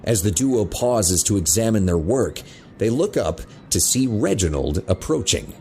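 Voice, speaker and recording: A man narrates a scripted story with lively intonation. His voice sits fairly low and has a lot of creak. There is faint ambience behind him. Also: unhurried, slight background noise.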